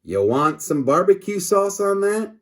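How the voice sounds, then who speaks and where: A timid schoolboy sidekick, put on by a man reading aloud from a comic storybook. He sounds wide-eyed and whiny, his pitch rising and dipping in questioning turns. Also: big pitch swings, a little slow, fairly bright tone, exaggerated voice. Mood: casual, eager.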